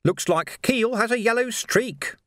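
A man's voice: very high-pitched, measured pace, fairly bright tone, dry recording, studio quality, voice pushed up. A man voicing a menacing, mocking villainous captain in a story, bullying and falsely matey by turns.

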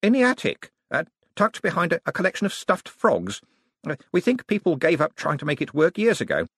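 A man's voice: a little high, wildly swooping pitch, brisk, a bit bright, slightly rough, no room sound, clean studio sound, pitched above the performer's own voice, exaggerated voice. A man voicing a friendly, flustered young wizard scholar in an audiobook, modest but bookish, hesitating and stammering with many ums. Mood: apologetic.

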